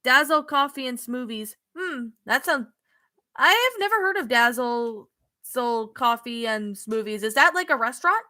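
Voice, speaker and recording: High bright Canadian woman streamer. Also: animated, unhurried. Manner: hesitant, many ums and restarts. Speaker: streamer reading chat. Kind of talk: casual live stream chat.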